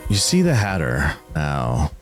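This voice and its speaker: A man's voice: a bass voice, wildly swooping pitch, unhurried. A man narrating an improvised tabletop game as game master, upbeat and affirming toward the players. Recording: music playing behind the voice. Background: clearly audible music.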